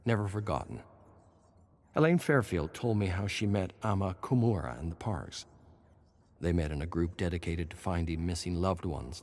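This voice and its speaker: A man voicing a wry would-be filmmaker who reads his survivor's journal from a game in a dry, droll, measured way. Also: deep voice, slight background noise. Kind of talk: dramatic reading of a game journal.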